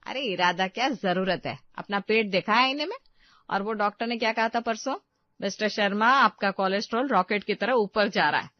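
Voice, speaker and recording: A woman's voice: medium pitch, moderate pace, no room sound, highs cut off, close to an everyday voice. A woman playing a bossy older mother who speaks in a firm, nagging way in a story. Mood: stern, nagging. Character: bossy older mother scolding her husband. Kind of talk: Hindi audio story dramatization.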